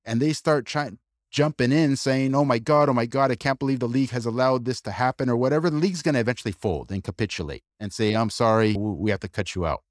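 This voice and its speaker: Male co-host, argumentative and lively, dry close-miked sound. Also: medium pitch, no room sound. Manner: animated, argumentative, quick asides with restarts. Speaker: podcast co-host. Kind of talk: casual opinionated discussion.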